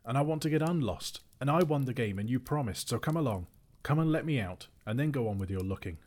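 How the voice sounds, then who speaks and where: Little storybook hero, man narrator acting: raised pitch, slightly husky, plucky, indignant, pressing his point. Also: brisk, darkish tone, natural voice. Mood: calling, insistent. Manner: insistent, calling out. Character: plucky, indignant small male adventurer, lost.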